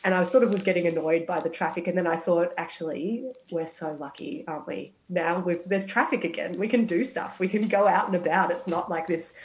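A young woman on a radio health panel, a final-year medical student, talking frankly and a little croaky from a cold. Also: on the low side, fairly quick, few pauses, reverberant, low-quality recording. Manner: frank, reflective, many restarts. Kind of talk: casual radio panel chat.

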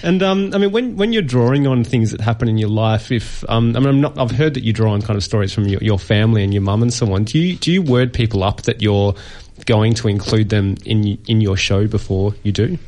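A man co-hosting a radio interview, relaxed and joking, with stammering restarts. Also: on the low side, a little fast. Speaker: radio co-host. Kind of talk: casual interview chat.

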